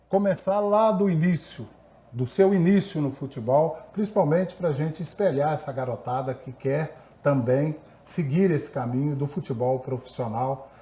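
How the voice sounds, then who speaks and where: A man's voice: a little high, mellow. A man with a Brazilian accent hosting a TV sports interview, asking leading questions and adding tags. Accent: Brazilian Portuguese. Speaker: TV sports show host.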